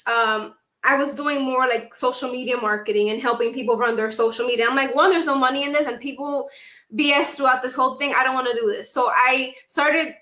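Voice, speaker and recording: A young woman with a high, bright voice speaks as a guest in a casual interview. She tells her story in an animated, conversational way, acting out things she has said. The sound is roomy, with noticeable echo. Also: fairly quick. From Dominican Republic and New York City. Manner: animated storytelling, acts out her own past remarks. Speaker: podcast guest, actress and content creator.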